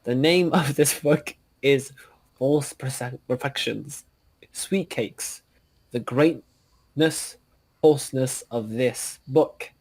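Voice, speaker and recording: A man reading as the solemn storybook narrator of a fantasy epic, earnest, declamatory and a little halting. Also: mid-range voice, darkish tone.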